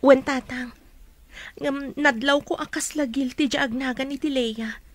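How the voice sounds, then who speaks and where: An earnest young woman in an Ilocano radio drama, with a high, youthful voice. She speaks as a probing, suspicious daughter with an Ilocano accent. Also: fairly even intonation, unhurried. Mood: concerned, questioning.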